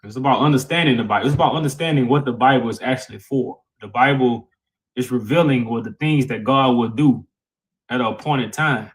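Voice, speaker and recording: A man's voice: medium pitch, fairly quick, rough recording. A man co-hosting a religious live-stream panel, arguing and explaining with emphatic repetition and casual grammar. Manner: emphatic, repeats phrases, informal grammar. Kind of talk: heated live-stream debate and preaching.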